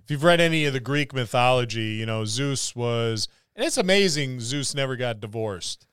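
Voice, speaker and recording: A man's voice: medium pitch, expressive, normal speed, a bit bright, clean tone, dry recording, clean studio sound. A man co-hosting a podcast, chatting casually about tabletop roleplaying in a rambling, jokey way. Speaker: podcast co-host.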